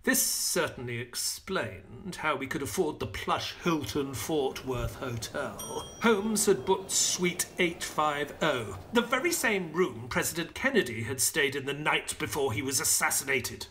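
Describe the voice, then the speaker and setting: An actor playing an earnest, puzzled gentleman sidekick in an audio play, with a polite, hesitant manner. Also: on the high side, lively intonation, unhurried, few pauses, slight background noise. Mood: reflective. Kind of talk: audio play acting and storytelling.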